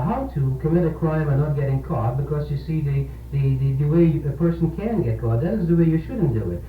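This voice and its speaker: A male priest with a mid-pitched voice argues his views earnestly on local radio, halting and repeating words. The old 1970s recording is narrow and sounds far from the mic, with a faint hum. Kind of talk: opinionated local radio discussion. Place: radio program, distant-sounding and echoey. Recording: tinny, echoey 1970s radio aircheck, humming noise.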